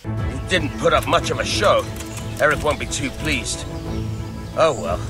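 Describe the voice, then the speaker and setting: Man playing a lively, blunt, boozy combat master. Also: mid-range voice, unhurried, music in the background, lightly acted. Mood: mocking. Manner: blunt, sardonic, earthy. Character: gruff, boozy, mocking male combat master. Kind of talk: video game cutscene acting.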